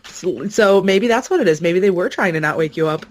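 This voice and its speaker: A woman's voice: fairly low pitch, expressive, a little fast. A woman podcast guest with a brash, joking manner, swearing freely in casual comedic banter.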